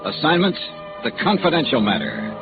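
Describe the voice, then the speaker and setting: An actor playing a wry, hard-boiled American insurance investigator in 1950s radio noir, dry and slangy. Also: male voice, fairly high pitch, big pitch swings, brisk. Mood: wry, brisk. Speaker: lead actor of a detective serial. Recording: narrow tinny vintage radio recording, music behind.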